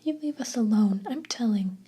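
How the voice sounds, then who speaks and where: A woman's voice: expressive, normal speed, dark tone, slightly rough. A woman whispering as a whiny little girl. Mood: upset. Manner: whispered, high and shrill when upset. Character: whiny seven-year-old girl, bratty and defensive.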